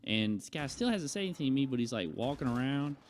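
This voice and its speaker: A man's voice: medium pitch, expressive, fast, a little hiss or hum. Young man telling a casual, rambling story. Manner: conversational, acts out quoted remarks. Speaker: vlogger telling a personal story.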